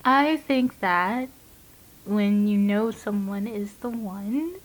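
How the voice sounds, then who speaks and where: A woman playing a gentle, earnest young waitress, confiding hesitantly in an audio drama monologue. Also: mid-range voice, slow pace, lightly acted, poor audio. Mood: fond, dreamy.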